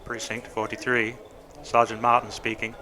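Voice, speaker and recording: A man's voice: mid-range voice, monotone, moderate pace, sharp tone, slight background noise, lightly acted. A man playing a matter-of-fact police desk sergeant answering the telephone in a radio play. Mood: businesslike. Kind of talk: old-time radio drama acting.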